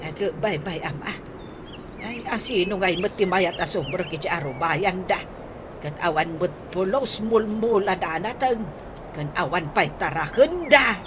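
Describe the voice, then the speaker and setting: An actress playing a nosy, critical neighbour aunt in an Ilocano radio comedy drama, gossipy and exclamatory, with an Ilocano accent. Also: female voice, lowish voice, lively intonation, a little slow. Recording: noisy radio drama with ambience.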